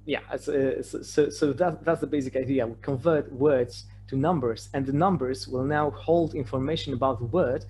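A man from Poland with a Polish accent, a native Polish speaker, presenting slides in a halting way with many repeated words. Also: medium pitch, darkish tone. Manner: hesitant, repeats words and stammers over phrase starts. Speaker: conference presenter. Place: remote online presentation with shared screen. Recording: clearly noisy online talk with hum.

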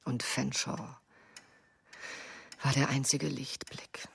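An actress playing a genteel, effusive American wife in a German radio drama, with husky, affected, theatrical delivery. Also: female voice, very deep voice, flat delivery, takes it slowly, in a whisper, heavily acted. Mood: haughty. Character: genteel, effusive American wife reminiscing, dominating her husband.

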